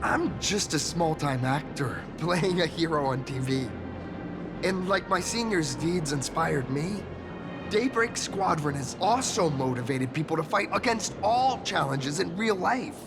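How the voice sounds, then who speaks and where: High-pitched, gushing young hero fanboy. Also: male voice, lively intonation, a little slow, over music. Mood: earnest, proud. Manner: gushing, stammering when flustered. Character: excitable starstruck young male actor-turned-masked-hero, earnest and humble. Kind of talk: anime-style video game voice acting.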